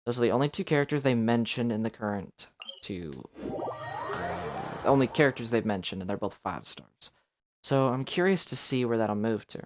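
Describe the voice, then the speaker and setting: A man giving casual live commentary while streaming a video game. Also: fairly low pitch. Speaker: game livestreamer. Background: video game music.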